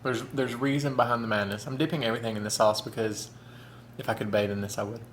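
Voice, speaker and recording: A man's voice: mid-range voice, fairly even intonation, fast talker, a little hiss or hum. Man in his thirties, camp, chatty food vlogger, often talks with his mouth full. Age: 30s. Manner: chatty, camp, says "y'all" and "honey". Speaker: mukbang vlogger. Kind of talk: casual chat while eating.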